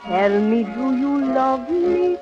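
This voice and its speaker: A woman's voice: high voice, lively intonation, takes it slowly, muffled recording, over loud music. A woman singing a ballad in a 1930s radio style, on an old broadcast recording. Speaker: singer. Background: loud musical accompaniment.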